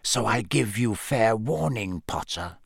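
Guy doing nasty sneering male teacher for an audiobook, cold, slow hissy menace, super dry sound. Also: fairly high pitch, animated, no echo. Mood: quiet menace. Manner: slow, deliberate, quiet hissing menace. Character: sneering, menacing adult male schoolteacher, coldly contemptuous. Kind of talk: audiobook character voice.

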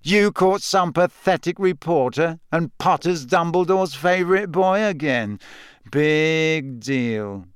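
A man voicing a smug, menacing teenage boy villain in an audiobook, speaking slowly and tauntingly. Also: a little high, expressive, smooth, no room sound, studio quality, pitched above the performer's own voice. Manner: slow, soft, taunting. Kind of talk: audiobook character acting.

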